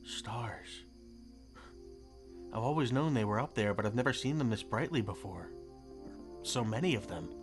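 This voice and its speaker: A man's voice: fairly low pitch, lively intonation, moderate pace, long pauses, close to an everyday voice. An actor playing a kind, concerned young male neighbour in an audio roleplay, speaking in a hesitant, informal way. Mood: tender wonder. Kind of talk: naturalistic audio roleplay acting. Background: music.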